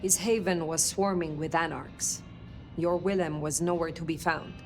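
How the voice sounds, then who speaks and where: A composed elder vampire woman in a video game. Her voice is a little low, her manner formal and reserved, and soft music sits behind her. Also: steady tone, moderate pace.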